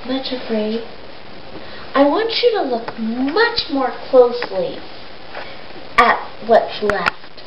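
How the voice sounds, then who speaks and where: A woman telling a story plays a gentle, patient male shepherd who instructs calmly and tenderly. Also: a little high, slow pace, pauses often. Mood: gently instructing. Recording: noisy, echoey, dull amateur recording.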